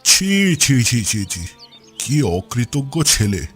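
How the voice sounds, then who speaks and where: A village landlord, played by a man in a moral cartoon. He speaks at a medium pitch in an expressive, paternal and righteous way, over background music. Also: slow, slightly rough, full character voice. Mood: disapproving. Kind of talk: cartoon voice acting.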